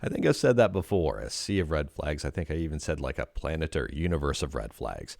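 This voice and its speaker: Canadian guy doing tech news, super deep voice, dry close mic, casual and a bit hesitant. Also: sing-song, a little fast, vocal fry, darkish tone, no room sound. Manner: conversational, hesitant fillers when reacting.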